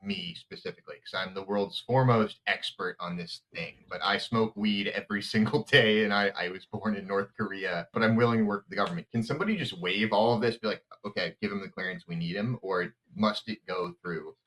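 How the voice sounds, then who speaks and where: A man's voice: on the low side, a little fast, hardly any pauses, fairly bright tone, rough recording. A man hosting a podcast, asking questions in a casual, playful way. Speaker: podcast host.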